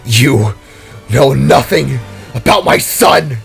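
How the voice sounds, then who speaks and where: A man voicing a battered heroic father and warrior in an audio story, halting and strained. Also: mid-range voice, expressive, vocal fry, husky, soft background music, exaggerated voice. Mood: pained defiance.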